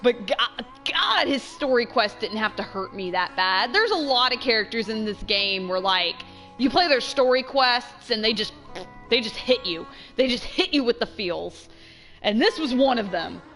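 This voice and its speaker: A woman giving casual, chatty gameplay commentary as a streamer, expressive and trailing off into asides. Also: fairly high pitch, moderate pace, faint music behind. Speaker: game streamer.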